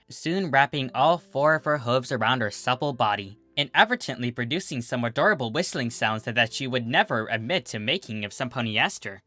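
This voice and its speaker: A narrator reads a story in a bright, clear-cut voice of middling pitch. The delivery is expressive and scripted, with music underneath. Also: no echo. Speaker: storyteller, fan fiction reader.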